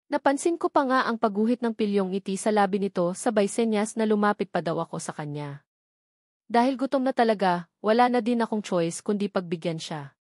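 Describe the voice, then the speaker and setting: A woman's voice: medium pitch, animated, a little fast, a little mellow, no room sound, clean studio sound. A woman with a Filipino accent reading a first-person romance novel as a scripted audiobook narration.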